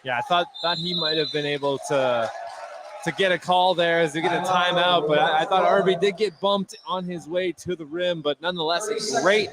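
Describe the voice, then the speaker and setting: Slightly high-voiced man giving basketball analysis. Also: moderate pace, a crowd in the background. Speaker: color commentator.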